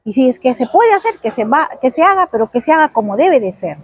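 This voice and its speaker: A woman's voice: high voice, very animated, fast talker, breathy edge, dry recording. A woman with a Peruvian Spanish accent, a traders' leader answering a news interview in an insistent, emphatic way.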